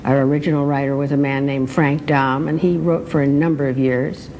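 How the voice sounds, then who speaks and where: Deep, mellow older American woman. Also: little pitch movement, a little fast, slight background noise, poor audio. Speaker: former radio actress. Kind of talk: reminiscing in an interview.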